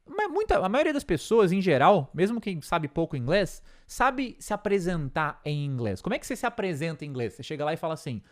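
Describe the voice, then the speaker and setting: A man with a Brazilian Portuguese accent gives a patient, methodical English lesson to camera. His pitch swings widely and animatedly as he lays out each point. He keeps checking in with quick tag questions, as if pointing at notes on a board. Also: big pitch swings. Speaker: English teacher. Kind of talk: explanatory video lesson.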